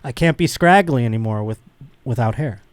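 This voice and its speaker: A man's voice: mid-range voice, expressive, moderate pace, clean tone, no room sound. A middle-aged man hosting a podcast, chatting casually in a rambling, self-deprecating and playfully theatrical way. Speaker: podcast host. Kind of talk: casual comedic podcast chat.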